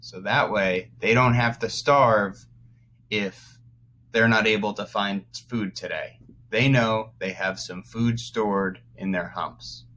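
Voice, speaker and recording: A man teaching young children through a read-aloud, pausing to explain words as he goes. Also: lowish voice, fairly even intonation, bright, forceful tone. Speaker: first-grade teacher.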